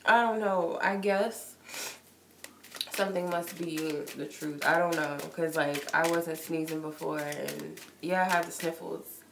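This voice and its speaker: A woman's voice: low-pitched, a little slow. A woman with a cold and a stuffy nose, giving a casual live-streamed tarot reading in a chatty, loose way full of likes and ums. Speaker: tarot reader. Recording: echoey room with noise.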